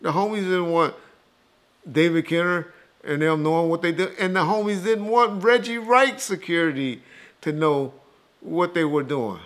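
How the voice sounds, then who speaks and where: A man's voice: on the high side, normal speed, a bit bright. A man talking casually and bluntly as an interview guest, dismissive and emphatic, swearing freely and repeating himself to drive points home. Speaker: interview guest rebutting rumors. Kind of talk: casual, profane opinionated talk.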